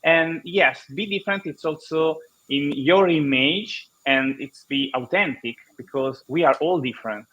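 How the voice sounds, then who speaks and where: Italian-accented male guest, slightly high voice, lo-fi call audio, halting and explanatory. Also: a bit bright. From Italy. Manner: halting non-native English, many restarts, explanatory. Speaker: guest speaker. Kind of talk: online conference interview.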